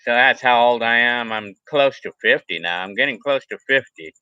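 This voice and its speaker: A man in his forties chats on a live stream and drifts from one viewer comment to the next. His tone is sharp and tense, and he keeps circling back over the same thoughts. The sound is dull and lo-fi, close and dry with no room around it. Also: mid-range voice, animated, normal speed, smooth, no room sound. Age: 40s. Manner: rambling and repetitive, restarts phrases. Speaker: livestream vlogger. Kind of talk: casual live chat reading viewer comments.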